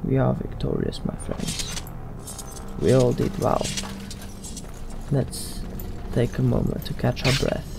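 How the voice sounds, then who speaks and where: A man doing in-character roleplay commentary over a video game, in a deliberate, formal and stilted way. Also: mid-range voice, sing-song, gravelly, background noise. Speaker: let's play host.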